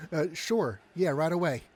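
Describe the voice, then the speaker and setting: Male actor as meek young barista and stagehand, slightly high voice, hesitant and mild, audio drama. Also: slightly rough. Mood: friendly, shy. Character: meek, good-natured young male coffeehouse worker and stagehand.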